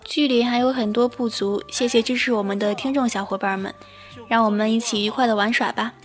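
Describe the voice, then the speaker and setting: A woman's voice: fairly high pitch, steady tone, brisk, faint music behind. A woman speaking as an audio drama director in a casual thank-you afterword.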